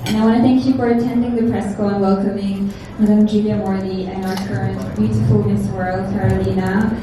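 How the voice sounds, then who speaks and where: Woman, heartfelt press-conference answer, strongly reverberant room with clear background noise. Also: mid-range voice, little pitch movement, fairly quick, dark tone. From France. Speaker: pageant titleholder. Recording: echoey hall, distant mic, clear noise.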